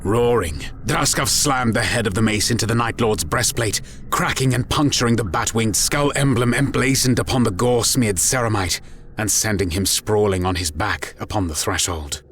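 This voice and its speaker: A man narrating a scripted story in a grave, measured, dramatic manner. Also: fairly low pitch, fairly bright tone, a little hiss or hum. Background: music.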